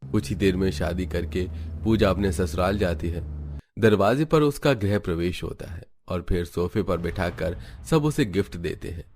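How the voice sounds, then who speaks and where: Deep-voiced man narrating a story. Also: normal speed, darkish tone, slight background noise. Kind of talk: scripted cartoon story narration.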